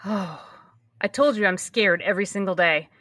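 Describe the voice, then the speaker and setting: A woman's voice: mid-range voice, normal speed, a bit bright, dry recording, clean studio sound. A woman in her early fifties speaking candidly and reflectively in a conversational interview, repeating phrases for emphasis. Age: early 50s. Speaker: podcast host being interviewed.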